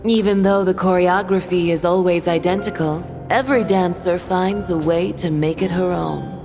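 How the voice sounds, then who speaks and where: A woman's voice: on the low side, steady tone, moderate pace, natural voice. A woman playing an aloof, melancholy woman of authority in a game, restrained and reflective in manner. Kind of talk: dramatic game cutscene acting. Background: music.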